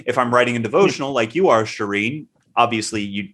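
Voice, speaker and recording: A man's voice: medium pitch, quick pace, a bit bright. A man co-hosting a podcast in casual chat, talking loosely with many fillers and self-corrections. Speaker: podcast co-host.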